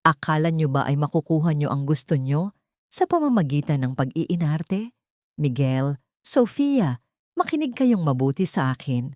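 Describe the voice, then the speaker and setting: Manipulative society villainess, played by a female narrator, lowish voice, calculating, for an audiobook melodrama. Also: sing-song, normal speed, no room sound, clean studio sound. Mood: cold threatening. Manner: soft, coaxing or coldly menacing. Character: scheming, manipulative rich woman, sweet-talking and cruel.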